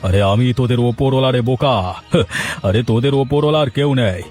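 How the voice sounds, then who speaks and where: Man acting a cruel fast-talking village landlord. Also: mid-range voice, a little mellow, faint music behind, lightly acted. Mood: scornful, angry. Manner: domineering, scornful. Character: cruel, haughty village landlord barking threats at poor farmers. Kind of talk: Bengali cartoon dialogue acting.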